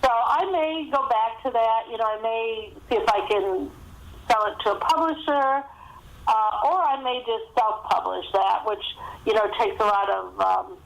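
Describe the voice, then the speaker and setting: A woman's voice: on the high side, moderate pace, creaky. A woman speaking as a guest author, giving conversational interview answers over a thin phone connection. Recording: thin phone-line sound.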